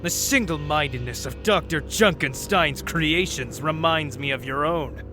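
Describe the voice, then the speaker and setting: Viking dragon hunter, played by a man: highish, forceful, solemn fantasy game role over faint music. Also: a little slow. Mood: earnest, determined. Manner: earnest, solemn, literal-minded. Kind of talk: fan-dub game character acting.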